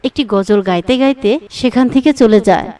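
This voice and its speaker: A woman's voice: a little high, fairly quick, mellow, smooth, far from the mic. A woman narrating a scripted children's moral story.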